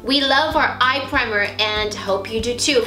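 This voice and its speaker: A woman's voice: on the high side, unhurried, echoey room, music in the background. A woman, gushing with squeals as she unboxes beauty products in a vlog. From Romania. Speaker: beauty vlogger. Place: small bright indoor home studio.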